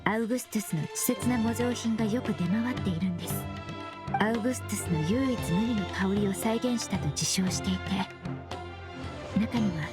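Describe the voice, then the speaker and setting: A woman playing a courteous, earnest young perfumer in an anime game, speaking formally and explaining in a measured way. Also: mid-range voice, over loud music, natural voice. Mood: serious. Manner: polite formal speech, measured explanatory delivery. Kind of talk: Japanese anime game voice acting.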